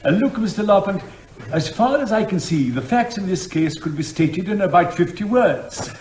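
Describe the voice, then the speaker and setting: Man acting a testy judge, lively intonation. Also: sits high, normal speed, echoey room, a little hiss or hum. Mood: exasperated, sardonic. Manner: curt, sarcastic, authoritative. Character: testy, dry, sardonic male county court judge. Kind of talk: comic courtroom drama.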